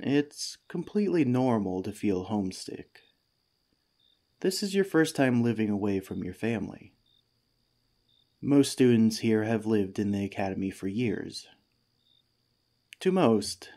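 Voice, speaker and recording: Slow, soothing male head teacher, acted by a man. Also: fairly low pitch. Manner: gentle, soothing, unhurried. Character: kindly, patient head teacher at a boarding academy, comforting a homesick pupil. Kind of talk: ASMR comfort roleplay.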